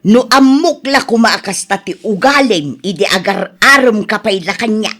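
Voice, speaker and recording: An actress playing an exasperated, worried farmer's wife who scolds and exclaims in an Ilocano radio drama, with an Ilocano accent. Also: female voice, mid-range voice, expressive, moderate pace, fairly bright tone. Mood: scolding.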